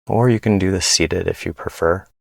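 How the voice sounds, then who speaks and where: A man leading a guided body scan meditation in a gentle, unhurried way, pausing between phrases. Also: sits low, gravelly, no echo, studio quality.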